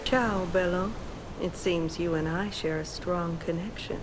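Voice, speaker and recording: A smooth-talking trickster woman from a video game, played by an actress, speaks in florid, deliberate phrases. Her voice is slightly low and theatrical, with a clear rumbling noise behind it. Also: steady tone, close to an everyday voice. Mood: sly, courteous. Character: smooth-talking, theatrical trickster older sister, flattering and pleading. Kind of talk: scripted game drama.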